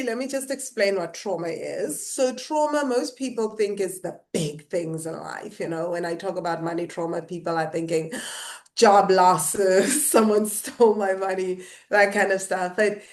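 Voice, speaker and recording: A young adult woman from South Africa, a guest author explaining her ideas in a lively, laugh-filled online conversation. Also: medium pitch, unhurried. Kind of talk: webinar conversation.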